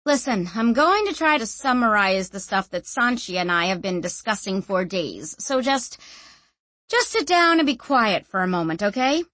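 A woman's voice: medium pitch, animated, moderate pace, sharp tone, no echo. A woman voicing an ancient sentient object that talks through a young woman's body in a casual, slangy, breezy way. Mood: weary, brisk. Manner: casual, slangy, matter-of-fact explaining.

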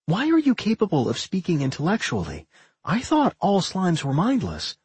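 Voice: mid-range voice, wildly swooping pitch, normal speed, darkish tone, slightly rough, dry recording, pitched above the performer's own voice, heavily acted. A narrator voicing a curious, blunt girl in an audiobook, with a probing, questioning manner.

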